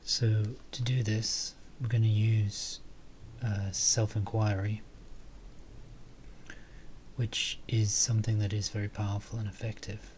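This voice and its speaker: A man guides a meditation in a fairly low, very even voice. He speaks slowly and softly, pausing often as he gives calm instructions. There is some noise in the recording. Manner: calm, hesitant, unhurried phrasing with long pauses.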